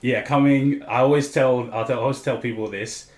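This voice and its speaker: A man's voice: mid-range voice, fairly even intonation, moderate pace, darkish tone, roomy sound. A man in his late twenties from Papua New Guinea with an Australian accent, telling his story as a podcast guest with frequent ums and restarts. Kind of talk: casual interview chat.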